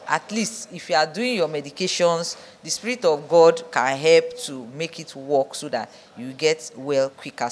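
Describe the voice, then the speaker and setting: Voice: mid-range voice, a bit bright, slight background noise. A person giving an earnest, emphatic, unscripted interview at an event. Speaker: audience interviewee.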